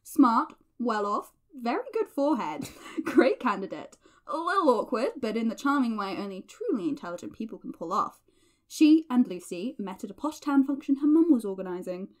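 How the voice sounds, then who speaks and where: A young woman with a fairly high voice played by an actress hosts an audio drama diary. She is playful and nerdy, teasing her friend and narrating with a theatrical flourish. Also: big pitch swings, normal speed. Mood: mock dramatic.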